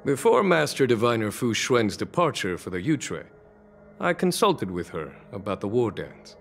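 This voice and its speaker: A grave, expressive military leader. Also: male voice, mid-range voice, normal speed, vocal fry, soft background music. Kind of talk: game dialogue.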